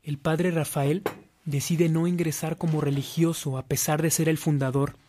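A man's voice: fairly high pitch, little pitch movement, normal speed, mellow. A man with a Mexican accent narrating a radio drama from a script.

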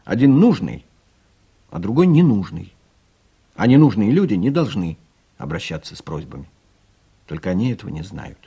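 A man narrates an audio play in a lowish, slightly rough voice. His storytelling is dry and ironic. The intonation is animated, rising and dropping widely. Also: normal speed, pauses often. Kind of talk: scripted satirical storytelling narration.

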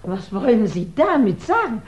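Indignant woman suspect, animated delivery. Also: a little high, moderate pace. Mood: indignant, scornful. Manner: scornful, challenging, theatrical. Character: indignant, defensive female suspect under questioning. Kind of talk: 1960s German radio crime drama acting. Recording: muffled, faintly noisy 1960s radio recording.

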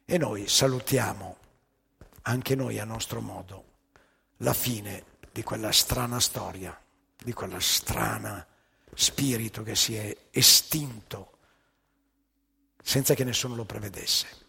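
A man delivering a theatrical spoken monologue as a stage storyteller, rhetorical and emphatic, breaking his sentences into short phrases, with a gravelly, husky voice. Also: on the low side, slow, pauses often, sharp tone, in a large hall.

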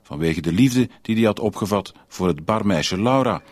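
An actor playing a formal, matter-of-fact news reader, giving a measured scripted report within a radio drama. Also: male voice, lowish voice, expressive, darkish tone, natural voice.

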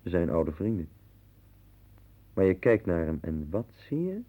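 An actor playing a blunt, domineering, somewhat pompous friend who lectures, in a 1960s Dutch radio play. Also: male voice, low-pitched, very animated, unhurried, heavily acted. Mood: confiding, suspicious. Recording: tinny, band-limited 1960s radio recording.

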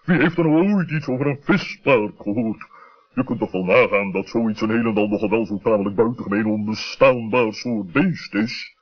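A wise, stern owl, acted by a man, speaks in a husky voice with deliberate, fatherly authority and some pomposity. The accent is Netherlands Dutch, and the old radio play sound is muffled. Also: lowish voice. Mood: dismissive. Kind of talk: 1960s children's radio play acting. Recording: muffled vintage radio recording.

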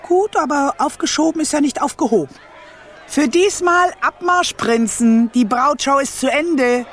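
A woman's voice: high-pitched, unhurried. An actress playing a bossy, scheming old witch of a royal grandmother in a radio play, imperious and scolding. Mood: commanding. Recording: long reverberant hall.

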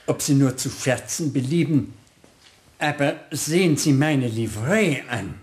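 A man's voice: medium pitch, expressive, unhurried, natural voice. A man acts a pompous household servant in a radio play. His speech is stilted and very proper, turning hesitant when he is flustered, in an echoing room. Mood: embarrassed, formal. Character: haughty, stiff, flustered manservant of a countess.

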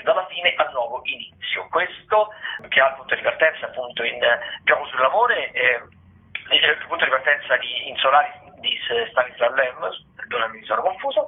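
Phone-like male radio presenter. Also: a little high. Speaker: radio presenter of theatre listings. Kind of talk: semi-improvised spoken radio rundown of theatre shows. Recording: thin phone-like band-limited radio sound.

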